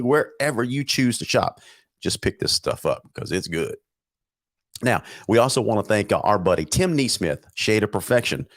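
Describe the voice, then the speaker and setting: A middle-aged man hosting a sports talk show, upbeat and jokey, his voice still a little hoarse after an illness. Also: on the low side, dry recording, clean studio sound. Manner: upbeat, chatty, jokey, repeats words. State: recovering hoarse voice after bronchitis.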